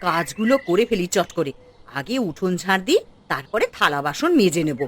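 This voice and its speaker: A woman voicing a scheming witch posing as a sharp-tongued, scolding village housewife in a fairy-tale cartoon. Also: lowish voice, sing-song, a little fast, a little hiss or hum. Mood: placating, brisk.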